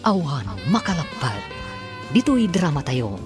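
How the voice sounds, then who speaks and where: A man's voice: on the high side, big pitch swings, a little slow. A man announcing and narrating a radio drama in a theatrical, emphatic, scripted delivery. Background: theme music.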